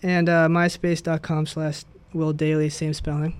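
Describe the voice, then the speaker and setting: A man's voice: on the high side, completely flat delivery, measured pace, smooth. A man hosting a radio interview, chatting casually and enthusiastically with a musician guest. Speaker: radio host.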